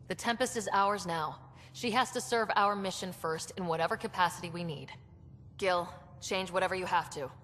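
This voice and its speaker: A woman playing a calm, decisive young spaceship commander in a video game, speaking in a composed, direct way. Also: lowish voice, moderate pace, big-room sound, a little hiss or hum, close to an everyday voice. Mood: firm, composed. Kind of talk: video game cutscene acting.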